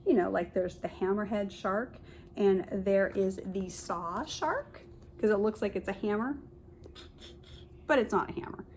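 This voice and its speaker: A woman kindergarten teacher reads a picture book aloud to young children, pausing to explain and point things out in simple words. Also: mid-range voice, darkish tone, a little hiss or hum.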